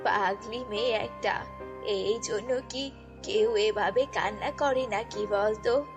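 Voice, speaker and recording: A woman's voice: medium pitch, slow pace, pitched below the performer's own voice. A woman voicing a kindly, worried old grandmother in a children's fairy-tale cartoon, coaxing and affectionate. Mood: scolding, soothing. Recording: long reverb, music bed.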